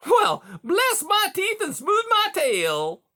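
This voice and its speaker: A man's voice: very high-pitched, huge pitch swings, takes it slowly, bright, forceful tone, pitched above the performer's own voice, heavily acted. A man reading aloud, voicing a smarmy, hearty male beaver who chatters in folksy salesman sayings.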